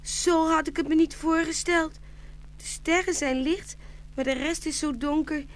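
High-voiced girlish porcelain shepherdess. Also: fairly even intonation, unhurried. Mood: tearful. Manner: girlish, pleading. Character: young porcelain shepherdess, sweet, devoted, easily frightened. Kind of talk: audio fairy-tale drama acting.

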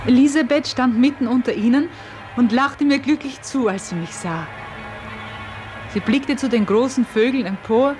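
An actress playing a farmer's wife who recounts an uncanny event with wonder, in measured, literary radio play narration. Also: female voice, mid-range voice, natural voice. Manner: measured, literary, deliberate delivery.

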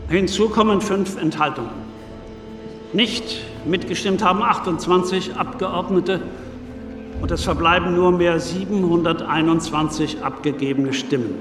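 An actor playing an assembly president in a radio drama, making a formal, deliberate proclamation. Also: male voice, sits high. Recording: distant echoing hall, music behind.

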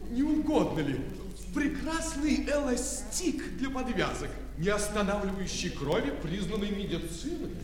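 A man's voice: high voice, big pitch swings, unhurried. An actor in a radio play voicing an ingratiating salesman who extols his shop wares. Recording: echoey hall with crowd behind.

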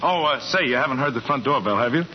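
Male actor, loyal eager young husband, American, animated delivery, placating, 1940s radio comedy, dull hissy old recording. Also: medium pitch, quick pace, lightly acted. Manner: boyish enthusiasm, placating. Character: genial, loyal, placating young husband, small-town banker.

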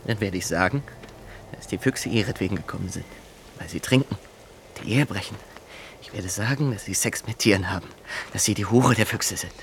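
A man acts out a young zealot of an inspector for a radio play, his voice low with a gravelly edge. He is coercive and self-righteous, pressing each threat insistently over faint background noise. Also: a little fast, natural voice. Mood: threatening.

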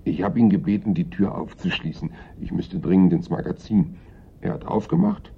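Evasive workman, deep husky voice. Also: big pitch swings, normal speed, heavily acted. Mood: offhand. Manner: offhand, colloquial, evasive. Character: evasive, simple Italian workman in his thirties, stubbornly nonchalant. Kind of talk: radio play acting. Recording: muffled, narrow-band old radio recording.

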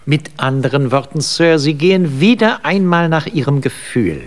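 An actor playing an elderly English butler in a German radio play, formal and stiff, with measured, proper diction. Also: male voice, fairly high pitch, lively intonation, close to an everyday voice. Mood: dignified, admonishing. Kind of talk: German radio drama acting.

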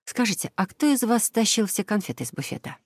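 A woman voicing a playful, thoughtful, gentle mother in a children's storybook. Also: medium pitch, big pitch swings, fairly quick, no room sound, pitched above the performer's own voice. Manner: musing, playful. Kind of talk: children's audio storybook character voice.

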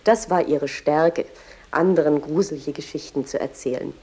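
A woman's voice: sits low, moderate pace, darkish tone, husky. A woman as a tour guide, explaining a poet's house in a chatty, informative way. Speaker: museum guide.

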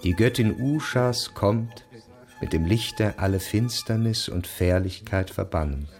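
A man with a low voice recites sacred verse slowly and solemnly. Faint music plays behind him as he gives each phrase measured weight. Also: a little mellow. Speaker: reciter in a radio play. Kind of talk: poetic recitation of sacred hymns.

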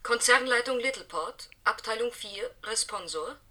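An actress playing a corporate switchboard machine in a science-fiction radio play, flat, formal and impersonal. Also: fairly high pitch, moderate pace. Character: female-voiced automated switchboard of a large corporation.

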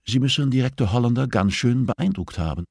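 A man's voice: medium pitch, expressive, brisk, creaky, a little mellow, slightly rough, no echo, pitched above the performer's own voice. A man voicing a cool, shrewd, condescending male prisoner with a dry, understated delivery, as audiobook dialogue. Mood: grudging respect.

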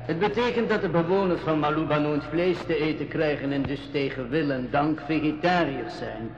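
An actor playing a calm, cruel island leader in a school radio drama, speaking formally and with cold courtesy. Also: male voice, fairly high pitch, lightly acted. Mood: calm, courteous menace. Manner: formal, unhurried, coldly courteous. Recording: dull, muffled radio recording.

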